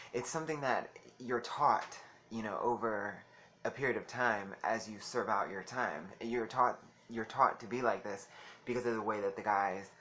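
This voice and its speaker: A soft-voiced man vlogging in a chatty, giggly way, calling listeners honey. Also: mid-range voice, slight background noise. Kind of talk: casual talk to camera.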